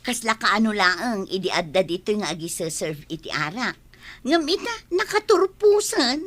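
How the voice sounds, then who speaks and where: A woman's voice: mid-range voice, very animated, normal speed, a bit bright. A woman with an Ilocano accent playing a chatty village woman in a radio drama, proudly passing on news to her auntie. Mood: chatty, admiring.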